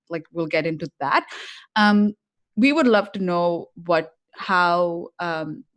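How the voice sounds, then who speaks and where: Female host, low-pitched for a woman, upbeat and chatty, on a live stream. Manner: chatty, upbeat, filler-heavy.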